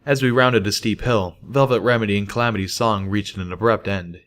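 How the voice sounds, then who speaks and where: A man's voice: fairly low pitch, fairly quick, fairly bright tone. A man reading a first-person fantasy story aloud as scripted narration. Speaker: audiobook reader of fan fiction.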